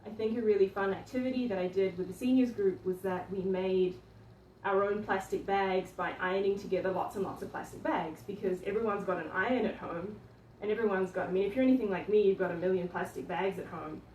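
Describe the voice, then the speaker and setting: A woman from New Zealand, an art teacher, chatting casually through a livestream tour of her studio, often pausing with ums and restarting her sentences. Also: medium pitch, steady tone, darkish tone, a little hiss or hum. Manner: hesitant, many ums and restarts. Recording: echoey room, voice from a distance.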